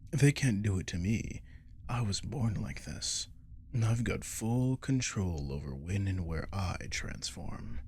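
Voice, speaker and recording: A man playing a captive werewolf in an ASMR roleplay, seductive, menacing and possessive, speaking in a slow, coaxing, teasing murmur close to the mic. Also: fairly low pitch, animated, a little mellow, breathy edge. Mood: coaxing, threatening. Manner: slow, coaxing, teasing, often dropping to whisper. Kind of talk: ASMR audio roleplay acting. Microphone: close microphone.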